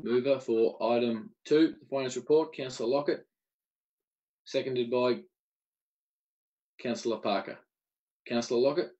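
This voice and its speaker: A man's voice: medium pitch, moderate pace, pauses often, mellow, lo-fi sound. Male meeting chair, Australian, mid-range voice, businesslike and procedural, calling on speakers in short phrases. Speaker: mayor chairing council meeting.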